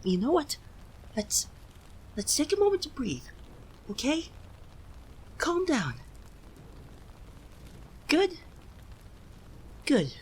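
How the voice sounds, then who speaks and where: Male actor for proud, indignant young cat-god, lightish male register, very measured pace, theatrical audio roleplay delivery. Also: high-pitched, slight background noise, exaggerated voice, poor audio. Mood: panicked, placating. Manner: haughty, flustered, theatrical, easily indignant. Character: banished proud young male forest deity, cursed into cat form. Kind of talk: scripted ASMR roleplay addressed to the listener. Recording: rain sounds over the voice. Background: rain ambience.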